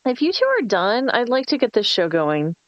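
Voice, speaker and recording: A woman's voice: fairly high pitch, wildly swooping pitch, normal speed, dull audio. A woman playing a bossy, sarcastic young woman scheming a prank in a screenplay table read. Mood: irritated. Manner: sarcastic, foul-mouthed, commanding.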